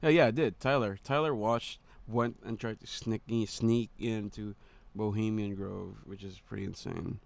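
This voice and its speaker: A man's voice: on the low side, fairly even intonation, a little slow, gravelly. A man giving casual, off-the-cuff commentary as a reaction video host, slangy and prone to casual swearing.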